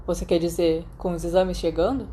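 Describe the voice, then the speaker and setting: A quiet, practical schoolboy in an audiobook, read by a woman. The tone is mellow and soft, the delivery understated and matter-of-fact. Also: fairly low pitch, fairly even intonation, brisk, a little hiss or hum, close to an everyday voice. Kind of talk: audiobook character dialogue.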